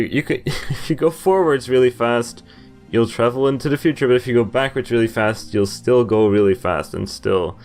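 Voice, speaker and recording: A young man with a fairly low voice hosts a casual game playthrough. He rambles a little, feeling his way through sentences and reading text aloud. Game music plays behind him. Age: young adult. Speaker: gaming video host.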